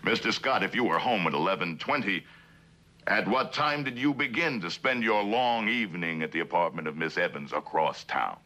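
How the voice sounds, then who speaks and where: Middle-aged district attorney, very low voice, sharp edge, skeptical, formal and probing, vintage TV courtroom drama. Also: male voice, normal speed. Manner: formal, probing, pointed questioning. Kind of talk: 1960s television courtroom drama acting.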